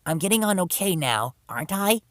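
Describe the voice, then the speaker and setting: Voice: medium pitch, very animated, fairly quick, no echo, studio quality, pitched above the performer's own voice, close to an everyday voice. A storyteller voicing an eager young male tank engine, earnest and urgent, in a children's story. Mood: unsure, hopeful.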